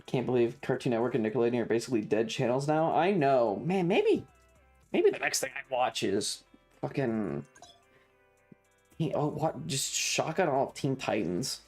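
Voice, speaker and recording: Animated, slangy game streamer with music behind. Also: medium pitch. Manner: foul-mouthed, slangy, exclaims in playful bursts. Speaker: variety streamer. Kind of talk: casual gaming livestream chat.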